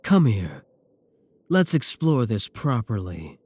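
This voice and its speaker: Man acting a slow-talking, menacing, possessive werewolf professor. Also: medium pitch, very animated, slightly rough, dry recording, heavily acted. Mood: possessive, adoring. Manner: slow, deliberate, soft chuckles, pet names. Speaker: voice actor in a roleplay audio. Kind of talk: intimate ASMR roleplay monologue.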